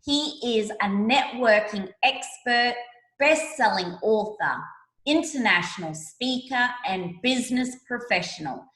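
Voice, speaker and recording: A woman's voice: a little high, a bit bright. A woman hosting a podcast interview in an effusive, emphatic way, recorded in a roomy, echoey space. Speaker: podcast host.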